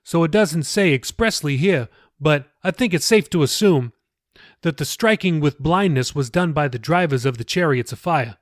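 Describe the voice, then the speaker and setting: Earnest, higher-pitched male pastor, as a narrator voices him. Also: lively intonation, moderate pace, dry recording, clean studio sound, natural voice. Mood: earnest, explaining. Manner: colloquial, insistent, questioning. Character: earnest, probing male pastor, casual and persuasive. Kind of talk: audiobook character dialogue.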